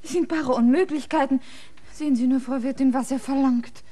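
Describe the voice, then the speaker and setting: An actress playing a devoted, earnest young barmaid in a radio play. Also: female voice, high-pitched, brisk, warm tone, natural voice. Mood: emphatic.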